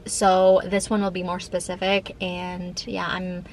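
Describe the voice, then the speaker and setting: A woman's voice: fairly low pitch, monotone, unhurried, slight background noise. A thirty-year-old woman chatting casually in a vlog, rambling informally with frequent "like" fillers. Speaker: lifestyle vlogger. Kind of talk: casual chat to camera.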